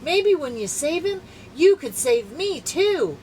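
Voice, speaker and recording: A woman's voice: high voice, very animated, takes it slowly, a little mellow, a little hiss or hum, pitched above the performer's own voice, full character voice. Woman doing a troubled little boy, storybook character, plaintive, humble, sincere. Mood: pleading, humble.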